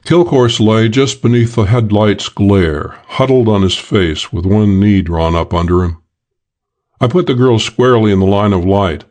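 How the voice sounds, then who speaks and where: Male audiobook narrator, lowish voice, dry and measured first-person crime read. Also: a little mellow.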